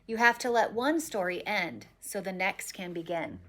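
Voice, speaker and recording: A woman voicing a gentle, reassuring mother telling a bedtime story in a picture book. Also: mid-range voice, animated, normal speed, close to an everyday voice.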